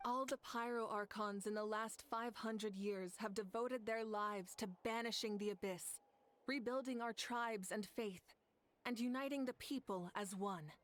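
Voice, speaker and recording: A woman's voice: fairly high pitch, measured pace, close to an everyday voice. A woman voicing a proud fiery leader, solemn. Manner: solemn, earnest storytelling. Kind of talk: game cutscene dialogue. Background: soft game music.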